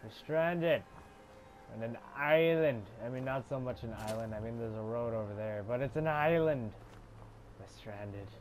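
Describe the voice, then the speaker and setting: A young man with a congested voice giving casual, swearing commentary while playing a game, mostly low and mumbled with sudden loud outbursts. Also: moderate pace, slight background noise. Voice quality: congested, stuffy. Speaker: gamer playing a shooter game.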